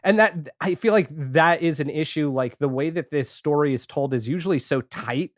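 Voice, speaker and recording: A man in a casual, animated pop-culture chat as a podcast guest, talking informally and acting out imagined remarks. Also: a little high, normal speed, dry recording, clean studio sound.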